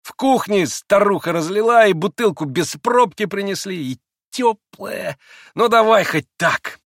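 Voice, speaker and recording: A man reading an audiobook and voicing a spiteful young man who drawls his taunts in a shrill, mocking way. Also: expressive, unhurried, fairly bright tone, no echo, studio quality, heavily acted.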